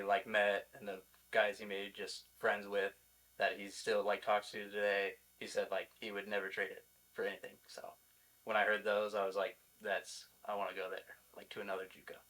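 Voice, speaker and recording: A young American man with a fairly low, bright voice and very little melody. He answers casually and a little hesitantly, leaning on "like" as he goes, like a college athlete being interviewed. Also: monotone, normal speed, poor audio. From Iowa, United States. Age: young adult. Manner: informal, hesitant, peppered with "like". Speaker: college baseball player, podcast guest.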